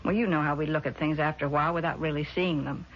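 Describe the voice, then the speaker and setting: An actress playing a worried, evasive woman in a 1970s radio drama, speaking in a hesitant, measured way. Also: low voice, fairly even intonation. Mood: anxious. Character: worried, evasive sister with designs on an estate. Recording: dull, noisy vintage radio recording.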